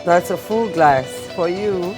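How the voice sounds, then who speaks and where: A woman's voice: on the low side, slow, rough recording. A woman with a Cameroonian accent hosting a TV talk show and interviewing in a conversational way. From Northwest region of Cameroon. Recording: music in the background. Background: music.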